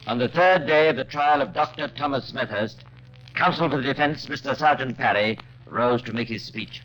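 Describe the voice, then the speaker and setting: A man narrating a 1950s radio crime drama in a deliberate, suspenseful scripted style. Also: mid-range voice, a bit bright, faint music behind. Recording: muffled old radio recording.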